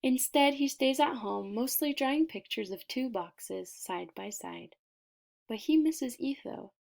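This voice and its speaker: Slow-paced, higher-voiced woman reading a storybook. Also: lively intonation, gravelly, darkish tone. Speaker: picture book reader. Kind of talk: expressive children's read-aloud narration.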